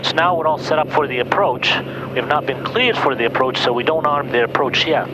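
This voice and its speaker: A man's voice: a little high, fairly quick, dull audio. Man instructing from a noisy cockpit. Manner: methodical, explanatory. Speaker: pilot instructing a guest. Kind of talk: cockpit instruction and radio calls. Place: small turboprop aircraft cockpit in flight. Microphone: aviation headset. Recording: loud aircraft cabin noise.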